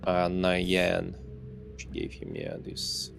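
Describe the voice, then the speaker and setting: A young man from Belarus with a Russian accent chats casually through a game, musing half to himself. His voice sits a little low and has a gravelly edge. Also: very animated, takes it slowly, music in the background. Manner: musing, reading game letters aloud. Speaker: streamer. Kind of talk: casual gameplay commentary.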